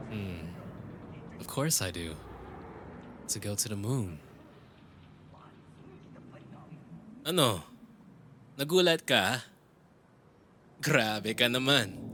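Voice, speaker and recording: A man's voice: medium pitch, expressive, measured pace, long pauses, pushed and bright, a little hiss or hum. A man playing a world-weary, tender cyberpunk dreamer in love, speaking intimately and reflectively in a romantic audio roleplay. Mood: wistful, teasing. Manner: intimate, reflective, switching into Tagalog phrases.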